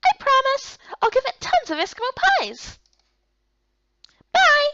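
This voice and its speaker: Sweet, eager young boy played by a woman, very high and lively, visual novel dub, slightly dull audio. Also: a little slow, no echo, heavily acted. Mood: eager, cheerful. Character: excitable, sweet young boy with a lost pet.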